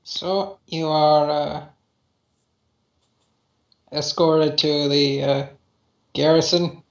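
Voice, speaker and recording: A man narrating a tabletop game as game master, halting with many pauses and fillers. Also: on the high side, flat delivery. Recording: roomy, dull lo-fi audio.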